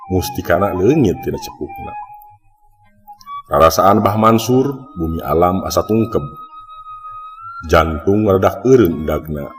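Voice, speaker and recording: A man with a Sundanese accent, dramatically narrating a mystery folk tale as a storyteller. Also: deep voice. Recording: long reverb, music behind.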